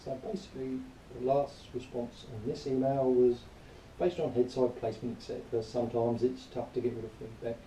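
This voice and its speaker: A man giving an informal spoken review in a rambling, matter-of-fact, grumbling way, partly reading aloud, recorded quietly on a camera some distance away. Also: medium pitch, little pitch movement, a little fast, few pauses. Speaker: product reviewer. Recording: distant quiet mic, roomy echo, faint noise.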